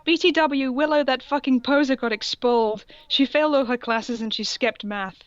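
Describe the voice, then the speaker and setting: A woman acts out a melodramatic teenage goth girl from an amateur story, bratty and gushing. The voice is pushed high and exaggerated, on a dry, slightly muffled recording. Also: normal speed, no room sound, lightly acted. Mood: spiteful.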